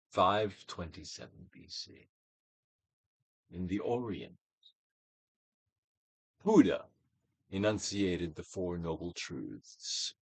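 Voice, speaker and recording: A man with a low voice reads aloud like a stage actor giving a dramatic recital. His delivery is solemn and declamatory, with wide swings of intonation. The sound is close and dry, with very little room around it. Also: slightly rough, no echo, low-quality recording. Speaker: actor reading a book aloud. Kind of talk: dramatic audiobook reading, theatrical Shakespearean delivery.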